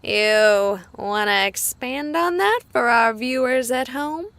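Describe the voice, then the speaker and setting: A woman's voice: a little high, expressive, takes it slowly, creaky, fairly bright tone, smooth, no echo, heavily acted. An actress voicing a skeptical, exasperated young female game streamer in a visual novel. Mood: teasing.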